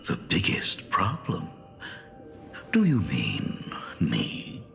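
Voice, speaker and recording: An actor playing a sinister, theatrical impostor demon in a video game, gravelly and mocking, drawing out his words. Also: wildly swooping pitch, a bit bright, heavily acted. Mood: mock-pleasant. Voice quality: gravelly, low. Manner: mocking, theatrical, drawn-out delivery. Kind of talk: dramatic video game voice acting. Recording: music behind the voice. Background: game music.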